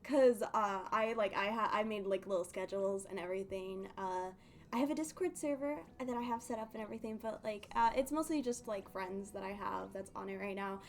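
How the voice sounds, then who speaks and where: A woman's voice: medium pitch, a little fast, a little hiss or hum. A woman chatting casually on a game livestream in a rambling, giggly, slightly nervous way. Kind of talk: casual game stream chat.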